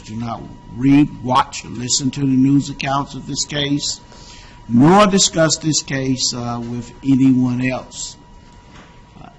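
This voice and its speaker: A man serving as a trial judge, giving measured, procedural instructions to a jury in a courtroom. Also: mid-range voice. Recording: dull courtroom audio with faint hiss.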